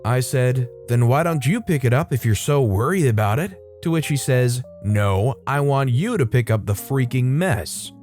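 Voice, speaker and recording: A man's voice: medium pitch, normal speed, few pauses. A young man casually narrating online stories, adding his own wry commentary between them. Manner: chatty, wry, animated asides. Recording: faint music behind.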